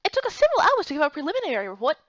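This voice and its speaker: A woman giving expressive first-person scripted narration of a fantasy story. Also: high-pitched, rapid-fire, dry recording, highs cut off. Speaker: narrator and voice actor for a visual novel playthrough.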